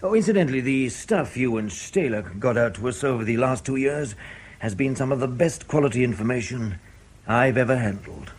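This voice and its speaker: An actor playing a blunt, sceptical intelligence interrogator in a radio drama, with a husky voice and a dry, insistent manner. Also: male voice, mid-range voice, lively intonation, a little fast, lightly acted. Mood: dry, businesslike.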